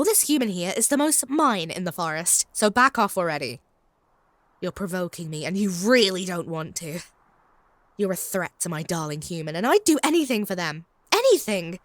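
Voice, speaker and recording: A woman's voice: mid-range voice, very animated, moderate pace, no echo, natural voice. A woman playing a haughty, possessive, lovestruck vampire in a comedic audio roleplay, snide and theatrical. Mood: fiercely possessive. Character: possessive, lovestruck female vampire, bickering and flustered.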